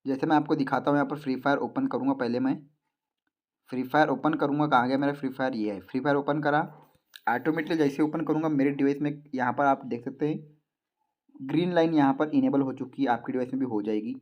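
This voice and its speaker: A man's voice: mid-range voice, fairly even intonation, brisk. A man with an Indian accent narrating a casual step-by-step phone tutorial as a video host, repetitively addressing his listeners. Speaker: YouTube tech tutorial host. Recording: dull lo-fi sound.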